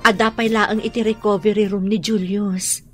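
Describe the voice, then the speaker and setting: A woman's voice: medium pitch, level tone, moderate pace, over music, close to an everyday voice. Woman acting earnest, worried Ilocano mother. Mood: anxious. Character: worried, devoted middle-aged mother and dutiful daughter. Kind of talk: Ilocano radio drama acting. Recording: noisy radio drama recording.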